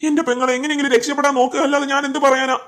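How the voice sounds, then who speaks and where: A woman's voice: high-pitched, flat delivery, very fast, fairly bright tone. A woman voicing a nervous, kind-hearted, obedient henchman in a cartoon dub. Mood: worried, confiding. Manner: nervous, deferential. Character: timid, kind-hearted male henchman, obedient but worried. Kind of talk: amateur cartoon dub in Malayalam.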